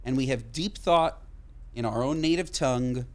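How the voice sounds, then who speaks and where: A man co-hosting a podcast, chatting casually and emphatically, swearing freely. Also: mid-range voice. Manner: emphatic, swears casually, talks in quick bursts. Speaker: podcast co-host. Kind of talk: casual chat.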